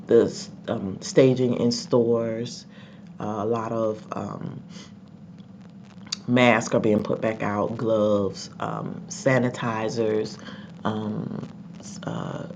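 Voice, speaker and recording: Congested, gravelly vlogger with a cold. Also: medium pitch, a little mellow. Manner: rambling, many ums, repeats words. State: sick, congested. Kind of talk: casual commentary vlog. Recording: dull lo-fi with faint background noise.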